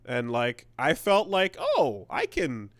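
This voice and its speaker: A man co-hosting a podcast in casual chat, rambling and animated, swearing freely. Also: high-pitched, slow, creaky, dry recording. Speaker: podcast co-host.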